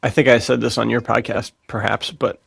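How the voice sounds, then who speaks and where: Gravelly, slangy male podcast co-host. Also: medium pitch, a little fast, no room sound, clean studio sound. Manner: excitable, slangy, rambling. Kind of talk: casual chat.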